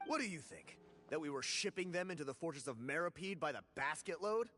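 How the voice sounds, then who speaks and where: A man's voice: on the high side, animated, brisk, pushed and bright, faint music behind. A man playing an indignant, argumentative, hot-tempered convict in a video game. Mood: fed up. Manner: argumentative, exasperated. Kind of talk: video game dialogue acting.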